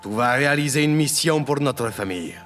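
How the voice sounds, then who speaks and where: A man plays the imposing head of a crime family in an audio drama. He is icy, curt and authoritative, at a medium male pitch. Music runs under his words. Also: expressive, fairly quick, fairly bright tone, lightly acted. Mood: cold, commanding.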